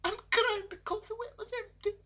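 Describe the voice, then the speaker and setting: A woman's voice: fairly even intonation, a bit bright. A woman voicing a young daughter who is frightened and cross. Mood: tearful. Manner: very high, quick and pleading. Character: young daughter, pretty lassie, sulky and frightened. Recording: faint guitar music behind.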